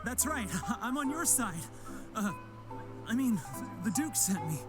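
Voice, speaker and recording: A man's voice: extremely high pitch, big pitch swings, unhurried, music in the background, full character voice. A man voicing a shifty impostor guard in a video game, putting on a genial, bumbling front. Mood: falsely genial. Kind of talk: scripted game dialogue.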